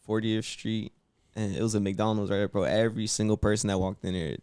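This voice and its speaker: A man's voice: lowish voice, fairly even intonation, fairly quick, a little mellow, smooth. A young man telling stories in slangy, profane casual chat.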